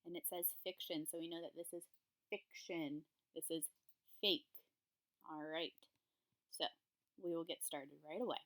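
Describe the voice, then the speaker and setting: A woman's voice: low voice, fairly even intonation, measured pace, long pauses, fairly bright tone. A woman, a primary school teacher reading a children's story aloud to her pupils. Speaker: third grade teacher.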